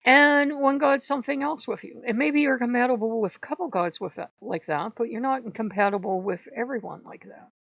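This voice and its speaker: A hoarse woman hosting her channel, telling a story in a rambling, casual way and stopping to clear her throat. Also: on the high side, fairly quick, hardly any pauses, no echo. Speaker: channel host. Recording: dull lo-fi recording.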